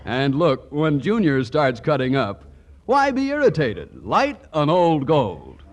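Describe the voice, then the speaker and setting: A man working as a 1940s radio announcer, giving a folksy, persuasive sales pitch. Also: wildly swooping pitch, slow pace.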